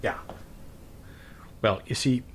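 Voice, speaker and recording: A man's voice: slow, background noise, natural voice. A man playing a firm executive who is taking over a company, in a radio drama. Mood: uneasy, polite.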